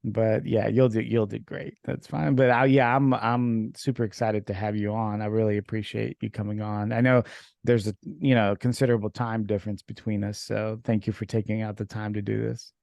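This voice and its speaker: A man from Ukraine whose first language is Russian, hosting a podcast over a video call in relaxed, filler-heavy chat. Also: on the low side, normal speed, vocal fry, no room sound. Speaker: podcast host. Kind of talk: casual interview chat.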